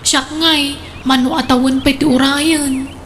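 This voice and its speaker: A woman's voice: high voice, fairly even intonation, unhurried, fairly bright tone, slight background noise. A woman playing an anxious young female student in an Ilocano radio drama. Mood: pleading, resigned.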